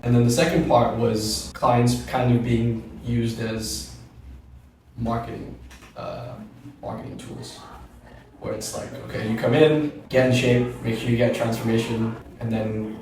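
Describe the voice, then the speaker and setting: A man in his thirties, a gym co-founder, talking in a measured, reflective way on a podcast and often stopping to rephrase. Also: medium pitch, flat delivery, a little mellow, distant mic. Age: 30s. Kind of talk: podcast conversation. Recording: roomy echo, off-mic, faint noise.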